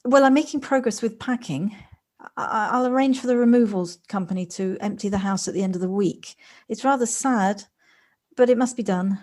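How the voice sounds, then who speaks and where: A woman playing a gentle, wistful city dweller in an audio drama, speaking in a halting, hesitant way. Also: medium pitch, lightly acted. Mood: subdued, evasive. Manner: halting, hesitant, repeats words. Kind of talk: naturalistic audio drama acting.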